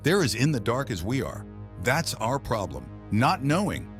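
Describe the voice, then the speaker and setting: A man's voice: medium pitch, big pitch swings, moderate pace, over music, natural voice. A man playing a commanding, concerned director giving briefings in a horror audio comic. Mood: grave, urgent. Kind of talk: dramatic audio comic acting.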